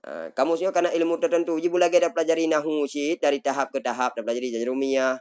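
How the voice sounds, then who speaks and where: A man's voice: fairly high pitch, fairly even intonation, a little mellow, clean tone. Acehnese man teaching from an Arabic text. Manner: reads Arabic phrases slowly, then glosses them in Malay-Indonesian. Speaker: religious teacher. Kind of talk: kitab study lesson, reading Arabic text and explaining.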